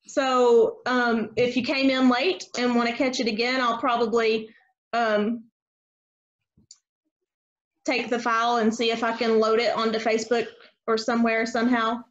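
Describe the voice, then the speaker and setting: A woman with a high voice hosts an informal group chat after a table read. She talks casually over a video call, and the sound is dull and lo-fi. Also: level tone, unhurried. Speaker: host of a virtual table read.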